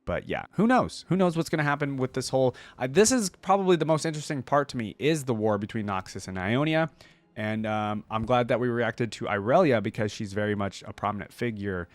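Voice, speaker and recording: A man's voice: medium pitch, animated, fairly quick, no echo. A man giving casual reaction commentary as a host, thinking aloud in an informal, exclamatory way.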